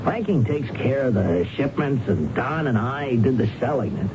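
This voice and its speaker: An actor playing a gruff, impatient, bossy smuggler in American radio drama style. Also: male voice, medium pitch, lively intonation, moderate pace, natural voice. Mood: irritated, insistent. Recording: muffled, noisy old radio broadcast.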